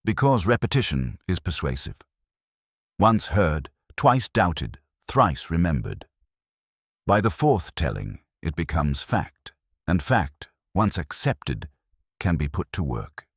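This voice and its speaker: A very low-voiced, philosophical police inspector, as a male reader plays him. He is measured and shrewd, weighing each thought gravely in a detective story. The sound is husky and dry. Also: sing-song, no echo, heavily acted. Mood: calm, musing. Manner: measured, aphoristic, quietly grave. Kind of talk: detective audiobook character reading.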